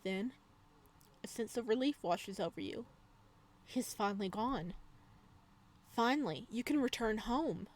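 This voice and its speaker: A woman doing a video game let's play, reading game text aloud with expressive drama and adding casual asides. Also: on the high side, unhurried, pauses often. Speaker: gaming video creator.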